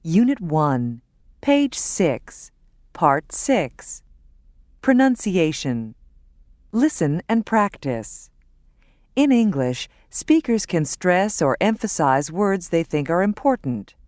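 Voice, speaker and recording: A woman narrating textbook audio, announcing units and instructions slowly and clearly. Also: lowish voice, sing-song, darkish tone, no echo, clean studio sound. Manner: slow, deliberate, clearly enunciated. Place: recording studio.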